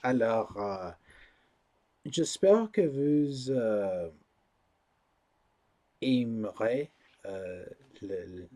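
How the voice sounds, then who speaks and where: Non-native male vlogger; very slow, halting delivery with constant fillers; low, mellow tone. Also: animated. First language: not French. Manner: hesitant, many pauses and fillers; searching for words, learner of French. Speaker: channel host. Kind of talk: casual vlog talk to camera.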